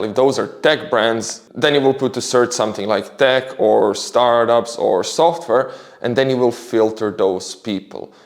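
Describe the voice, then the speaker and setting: Man giving upbeat career tips. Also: mid-range voice. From Croatia. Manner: upbeat, explanatory, enthusiastic. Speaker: creator giving career advice. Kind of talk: casual advice monologue.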